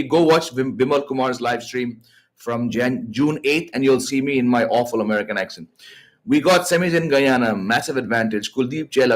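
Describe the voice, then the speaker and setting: A man hosting a cricket live stream from home. He reads out viewer comments, teases the chat in an excitable way, and slips into Hindi. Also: medium pitch. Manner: teasing, excitable, switches into Hindi.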